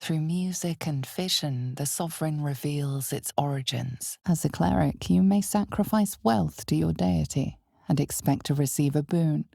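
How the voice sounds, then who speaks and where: A woman voicing an ominous, knowing narrator of a dark fantasy game, speaking in a measured, dramatic, often hushed way. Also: low-pitched, gravelly, no echo, studio quality. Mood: knowing, grave.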